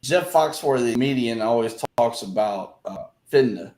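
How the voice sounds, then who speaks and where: A man's voice: medium pitch, animated, moderate pace, a little mellow. A man with an Alabama Southern accent interviewing a guest, chatty and playful, acting out local phrases. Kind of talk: casual interview. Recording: roomy echo.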